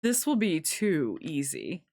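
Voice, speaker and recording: A reader voicing a gloating alien villain, slow, mocking and cruelly amused. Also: medium pitch, wildly swooping pitch, darkish tone, no room sound, clean studio sound, full character voice. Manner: slow, mocking, with deliberate cackling.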